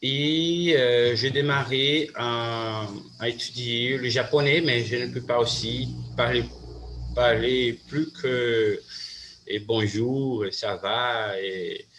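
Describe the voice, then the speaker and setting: Brazilian man, Portuguese native, hosting a halting learner's chat at a slow pace. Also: mid-range voice, fairly bright tone, slight background noise. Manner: halting, many restarts and fillers. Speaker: host. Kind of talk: casual chat in a learned language.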